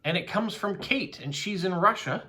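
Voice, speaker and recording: A man's voice: high voice, animated, normal speed. Chatty man opening postcards. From Canada. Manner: chatty, warm thanks, frequent "uh" fillers. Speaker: postcard vlogger and mail carrier. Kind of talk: casual unboxing chat.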